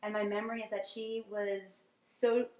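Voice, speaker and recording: A woman's voice: mid-range voice, level tone, moderate pace, a little mellow, slight background noise. A woman from Staten Island with a New York accent, sharing personal stories casually with laughs and many ums. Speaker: workshop participant. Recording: echoey room.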